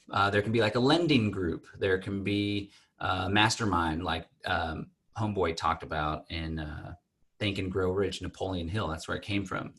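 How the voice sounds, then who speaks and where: A man's voice: on the low side, sharp tone. A man hosting an online conference call, speaking informally as he presents and passes the floor to participants.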